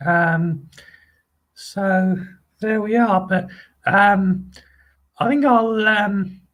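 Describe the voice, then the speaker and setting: A man's voice: high voice, extremely slow, a bit bright. A man hosting his own channel, chatting casually and hesitantly with lots of ums and restarts, repeating friendly phrases as he rambles. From England. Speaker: channel host. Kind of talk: casual live video chat.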